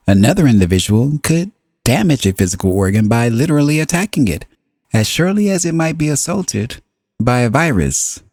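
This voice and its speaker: A man reading a book aloud as scripted narration, with measured, deliberate phrasing and pauses. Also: mid-range voice, a little mellow, dry recording, studio quality. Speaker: audiobook reader.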